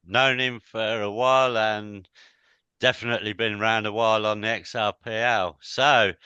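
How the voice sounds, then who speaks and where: Bright, crisp-voiced joking man. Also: fairly low pitch, fairly even intonation, normal speed, no room sound, lo-fi sound. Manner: joking, teasing. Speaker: podcast co-host. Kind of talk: casual chat.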